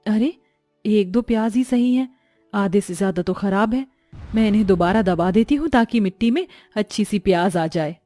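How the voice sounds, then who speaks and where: Woman playing a gentle, mellow village mother. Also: medium pitch, normal speed, dry recording, soft background music, natural voice. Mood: kind, practical. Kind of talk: cartoon story voice acting.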